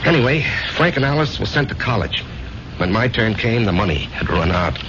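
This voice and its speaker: An overworked veteran turned salesman in a seventies radio play. His low voice moves widely and intensely, halting and doubling back on phrases. The recording is muffled, with clear noise over it. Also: sing-song. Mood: wistful, confiding. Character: driven, self-doubting overworked salesman, war veteran. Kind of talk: 1970s radio drama acting. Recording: noisy, muffled 1970s radio drama recording.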